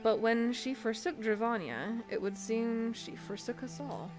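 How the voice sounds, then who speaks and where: A woman's voice: on the high side, expressive, music in the background. Woman reading as a solemn, eloquent young scholar. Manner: measured, formal, earnest. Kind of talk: reading game dialogue aloud in character.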